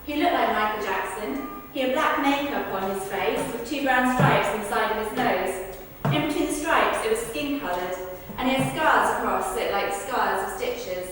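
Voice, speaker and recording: A woman's voice: on the high side, moderate pace, close to an everyday voice. A woman rehearsing verbatim witness accounts for the stage, telling them in a conversational but projected way. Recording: echoey hall, far from mic, faint noise.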